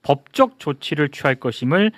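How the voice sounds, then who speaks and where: A man, a talk show co-host, reading a statement aloud in a measured, clear way. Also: fairly high pitch, sing-song, no room sound, clean studio sound.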